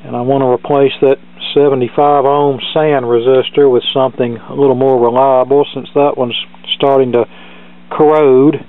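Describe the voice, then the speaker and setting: A man's voice: mid-range voice, level tone, normal speed. A man giving a casual, matter-of-fact explanation of a hands-on repair, with a dry sense of humor, recorded in a workshop on a camera mic. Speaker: hobbyist repairer presenting a demonstration. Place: indoor cluttered electronics workshop. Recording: muffled, narrow phone-like sound.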